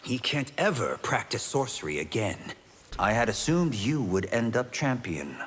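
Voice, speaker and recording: An actor playing an earnest young Shaolin monk fighter in a video game, speaking sincerely and politely. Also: male voice, mid-range voice, very animated, normal speed, fairly bright tone, husky. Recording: long hall reverb, faint noise.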